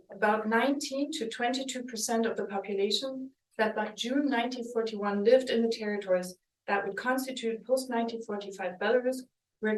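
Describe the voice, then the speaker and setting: Thoughtful woman lecturing in a roomy hall. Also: mid-range voice. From West Germany. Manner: thoughtful, hesitant, with restarts and ums. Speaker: historian giving a book talk. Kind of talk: academic lecture with slides. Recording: roomy, mic at a distance.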